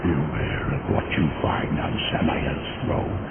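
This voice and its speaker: A man's voice: rumbling low, takes it slowly, heavily acted. An actor voicing a male demon merchant who speaks in a sly, sardonic way. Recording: lo-fi tinny audio with loud noise.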